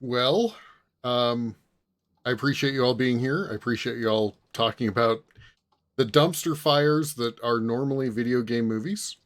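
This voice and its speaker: A man's voice: medium pitch, normal speed. A man hosting a podcast in casual chat, talking in a hesitant, meandering way. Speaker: podcast host.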